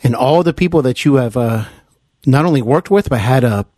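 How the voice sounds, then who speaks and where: A man's voice: mid-range voice, expressive, darkish tone, dry recording. Effusive male interviewer, close and dry. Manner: effusive, reverent, halting phrasing. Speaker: interview show host. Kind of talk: conversational celebrity interview.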